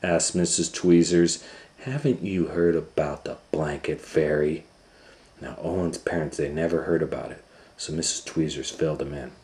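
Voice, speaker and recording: A middle-aged man reading a picture book aloud for children, dropping to whispers and rising to loud excited voices for the story. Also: very deep voice, moderate pace, echoey room. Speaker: storytime reader.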